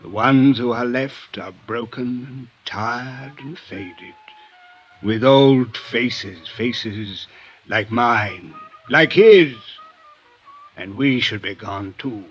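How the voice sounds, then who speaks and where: Aging drunken cynic, florid formal diction, slow delivery, world-weary, 1950s radio drama, dull old recording. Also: male voice, medium pitch, husky. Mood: melancholy, weary. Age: older. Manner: florid, formal, theatrical speech. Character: bitter drunken aging former friend of a racketeer, world-weary. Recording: dull vintage radio recording, music behind.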